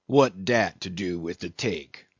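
A man's voice: mid-range voice, very animated, a little slow, no echo, lo-fi sound. A man voicing a sulky ninety-year-old ship's cook in a storybook, muttering and grumbling in a heavy dialect. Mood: testy.

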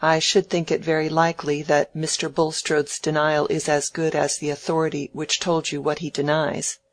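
Courteous young gentleman for an audiobook, played by the narrator: formal, proud, self-controlled. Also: medium pitch, flat delivery, moderate pace, lightly acted. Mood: stiff, restrained irritation. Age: young adult. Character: polite, proud young gentleman, restraining his irritation. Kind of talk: dramatised audiobook character.

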